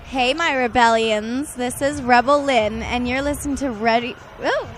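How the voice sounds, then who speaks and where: A woman's voice: fairly high pitch, normal speed, slight background noise. A woman recording a station ID, playful and giggly. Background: loud music.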